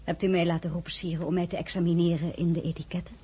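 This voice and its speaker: An actress playing a shy yet defiant princess in a radio drama, answering politely and pointedly. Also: female voice, lowish voice, flat delivery, fast, slightly rough. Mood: quietly defiant. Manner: polite, quietly pointed replies. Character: shy yet defiant princess, a marshal's wife at Napoleon's court. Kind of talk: Dutch radio drama dialogue.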